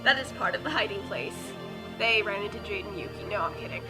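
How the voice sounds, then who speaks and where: A woman's voice: mid-range voice, animated, quick pace, over music. A woman co-hosting a comic dramatic reading of fan fiction, theatrical in delivery, with casual commentary. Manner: exaggerated, theatrical when reading aloud.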